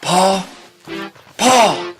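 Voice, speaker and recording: A man's voice: high-pitched, lively intonation, extremely slow, a bit bright, faint music behind, full character voice. A man playing a frightened, needy son with a plaintive, simple manner in an audio drama. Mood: anxious. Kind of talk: surreal audio drama dialogue.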